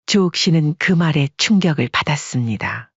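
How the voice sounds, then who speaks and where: A man narrating a family drama story in an even, dramatic storytelling manner. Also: fairly high pitch, normal speed, slightly rough, no echo. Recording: dull lo-fi dry sound.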